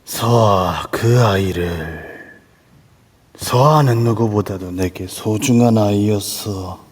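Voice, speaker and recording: A man's voice: fairly low pitch, slow, husky. A man playing the vengeful, menacing ghost of an old-time lord in an amateur audio drama, speaking in archaic, imperious phrases. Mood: grieving. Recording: long reverb throughout.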